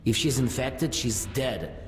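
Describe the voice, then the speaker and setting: An actor playing a cold, commanding military villain in a video game, threatening. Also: male voice, mid-range voice, a little slow, lightly acted. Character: cold, menacing male military villain giving orders. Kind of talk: video game cutscene acting. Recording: echoing hall with music.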